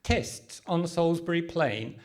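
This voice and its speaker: Man acting as an exasperated, slow-spoken comedian. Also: a little high, lightly acted. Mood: solemn narrating. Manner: deliberate, emphatic. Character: exasperated male comedian recording a sketch, pompous narrator. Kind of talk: live radio comedy play. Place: hall stage in front of a live audience.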